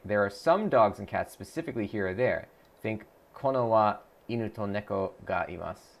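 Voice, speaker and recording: A man with a low voice and a gravelly, crackly edge talks through a live stream in a loose, rambling way. He thinks out loud, trails off and restarts sentences. He reads viewer comments aloud and answers them as he goes. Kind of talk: casual live-stream chat while practicing a language app.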